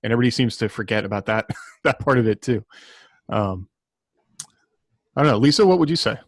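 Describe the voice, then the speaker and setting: A man with a mid-pitched voice hosts a casual podcast in a very dry-sounding space. His phrasing is tentative and informal, like a writer thinking aloud. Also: expressive, a little fast, no echo.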